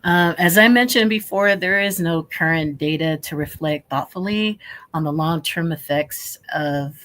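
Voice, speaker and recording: A man's voice: fairly high pitch, measured pace, bright, forceful tone. A man speaking earnestly as a panelist, hesitating often with "uh". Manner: earnest, hesitant, frequent "uh" fillers. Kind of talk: panel discussion.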